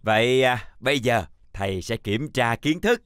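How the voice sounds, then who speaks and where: A man playing a stern, patient older chalk schoolteacher in a children's cartoon, speaking in a measured, instructive way. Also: mid-range voice, animated, over loud music, natural voice. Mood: formal welcoming.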